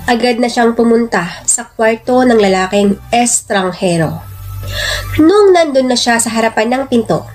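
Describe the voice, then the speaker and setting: High-voiced woman narrating a radio-style drama. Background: background music.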